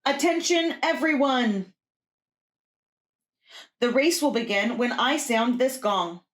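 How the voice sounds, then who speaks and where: Woman voicing a high, proclaiming rooster race announcer. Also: animated, slow pace, a bit bright, echoey room. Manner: calling out, proclaiming. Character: rooster race announcer, loud and official, in a storybook.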